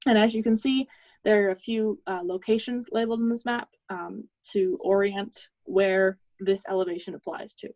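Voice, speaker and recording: A young woman giving a technical project presentation as a student, in a measured, explanatory way. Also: mid-range voice, fairly even intonation, a little mellow, no room sound, poor audio.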